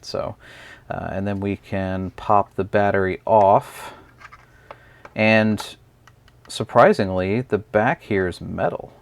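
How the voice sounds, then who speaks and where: A man's voice: lowish voice, takes it slowly, vocal fry, pauses often. A man casually explaining a product in an unscripted, hesitant review, talking through it step by step as the host.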